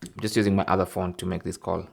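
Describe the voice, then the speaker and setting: A man with a low-pitched voice hosts an instructional tech tutorial. He explains things step by step, often checking that the listener is following along. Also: level tone, fast talker, creaky, breathy edge. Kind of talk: instructional tech tip narration.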